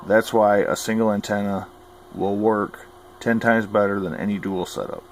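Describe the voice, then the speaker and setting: A man's voice: fairly low pitch, steady tone, moderate pace, a little hiss or hum, poor audio. A man giving informal how-to advice as a radio hobbyist, folksy, plain-spoken and opinionated. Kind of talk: informal instructional talk.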